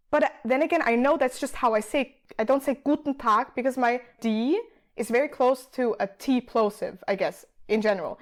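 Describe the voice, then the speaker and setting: A woman from Austria talks as a podcast guest in a relaxed, chatty way, thinking aloud as she goes. Her voice sits somewhat high for a woman. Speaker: guest, PhD student. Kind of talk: casual interview chat.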